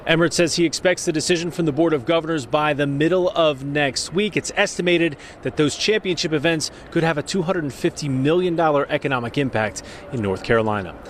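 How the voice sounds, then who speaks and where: A man with a fairly high voice reports live for TV news. He reads in a scripted broadcast style, as a sports anchor out in the field. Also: fairly quick, slight background noise.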